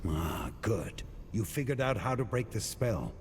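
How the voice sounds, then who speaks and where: An actor playing a grim, determined warrior in a fantasy video game, terse and stern. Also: male voice, fairly low pitch, big pitch swings, unhurried, noisy background. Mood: satisfied. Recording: long reverb, loud music. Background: game music.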